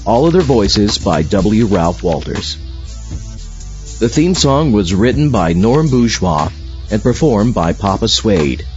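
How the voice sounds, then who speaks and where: A man's voice: lowish voice, very animated, takes it slowly, a little mellow, soft background music. A man reading scripted credits as the announcer of an audio show. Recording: dull lo-fi audio.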